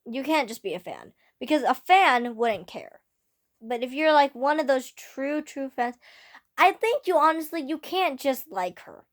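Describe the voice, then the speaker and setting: Teenage girl, fairly high voice, slightly hoarse, animated, full of fillers, casual vlog rant. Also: a little slow. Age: teens. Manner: animated, rambling, many fillers. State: slightly hoarse, losing her voice. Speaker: vlogger. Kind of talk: casual fan rant to camera.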